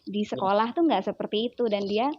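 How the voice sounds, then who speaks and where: A woman's voice: medium pitch, brisk, highs cut off. A woman with a Javanese accent, a child psychologist chatting as an interview guest, telling stories animatedly and acting out her child's words. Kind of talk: casual interview chat.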